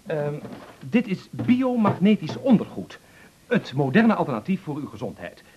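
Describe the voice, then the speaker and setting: A man's voice: a little high, sing-song, normal speed, highs cut off, noisy background. An actor playing a slick yet flustered door-to-door salesman in a radio play, with hesitant sales patter full of jargon. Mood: eager, flustered.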